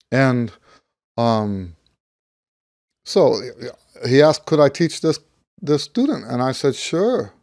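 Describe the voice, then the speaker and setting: A middle-aged American man, slightly hoarse as he gets over losing his voice, talking casually and ramblingly like a teacher sharing experience. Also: mid-range voice, expressive, drawn-out words, pauses often, darkish tone, no room sound, clean studio sound. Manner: rambling, conversational, thinking aloud. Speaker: trumpet teacher. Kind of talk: casual talk to camera, personal anecdote.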